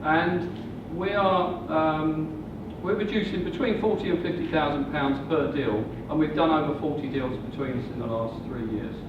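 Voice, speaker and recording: A man in his sixties giving a measured, slow sales presentation about property investing. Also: on the high side. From England. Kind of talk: seminar presentation. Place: hotel function room. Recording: muffled, distant, echoey room with noise.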